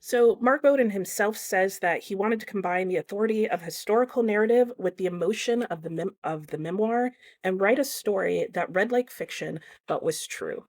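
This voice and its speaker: A woman's voice: medium pitch, normal speed, hardly any pauses, darkish tone. Conversational woman reviewing books. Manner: conversational, explanatory. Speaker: book reviewer. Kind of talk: casual book review vlog to camera.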